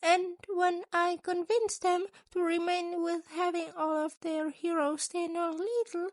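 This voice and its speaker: A woman's voice: very high-pitched, level tone, measured pace, no room sound, pitched above the performer's own voice. A woman voicing a small male animal school principal, a cunning, gleeful schemer who talks in formal, wordy sentences in a fan fiction audio story. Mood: scheming, intense. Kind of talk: acted dialogue in a fan fiction reading.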